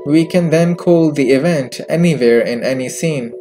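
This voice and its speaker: A man presenting a software tutorial in scripted narration, recorded as a close voice-over with music behind him. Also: fairly high pitch, normal speed. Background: background music.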